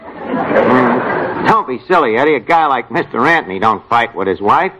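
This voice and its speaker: A man's voice: mid-range voice, lively intonation, moderate pace, over a noisy crowd, natural voice. An actor playing a wisecracking, uneducated New York tavern manager in a 1940s radio comedy, mangling his grammar. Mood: chummy. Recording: muffled, noisy 1940s radio with audience.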